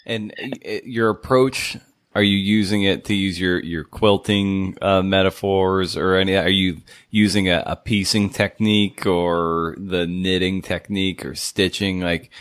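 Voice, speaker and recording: A man's voice: low-pitched, steady tone, measured pace, fairly bright tone, no echo, clean studio sound. A man interviewing a writer, rephrasing his questions as he goes.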